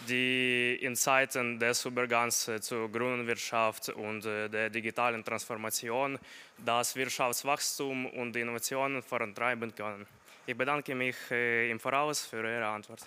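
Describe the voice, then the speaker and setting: A man's voice: mid-range voice, flat delivery, moderate pace, bright, forceful tone, smooth. A young man from Ukraine asks a formal, polite question at a town hall, hesitating often. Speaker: audience member asking a question.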